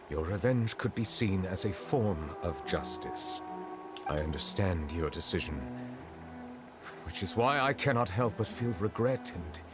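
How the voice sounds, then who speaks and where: An actor playing a grave, dignified judge with a formal, measured delivery in a video game drama. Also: male voice, fairly low pitch. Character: grave, dignified male chief judge, restrained and sorrowful.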